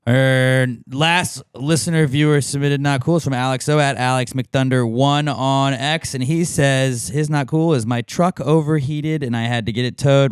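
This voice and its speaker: A man's voice: medium pitch, clean tone, dry recording, clean studio sound. A man co-hosting a podcast in casual comedic chat, joking and reading out listener submissions. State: voice recently shot from shouting. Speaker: podcast co-host.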